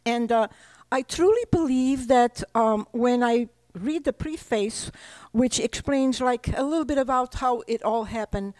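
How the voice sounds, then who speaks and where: An older woman from Portugal, whose first language is Portuguese, reads aloud from her own book at a library talk. Her voice sits high but mellow, and her pace is unhurried. The sound is dry and close. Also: no room sound. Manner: slow, deliberate reading. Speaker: author. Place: library presentation room.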